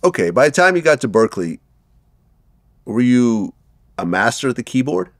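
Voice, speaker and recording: Man, middle-aged, interview host, animated pitch, conversational, dry sound. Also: moderate pace, dry recording. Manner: friendly, conversational, leading questions. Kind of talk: casual interview questions.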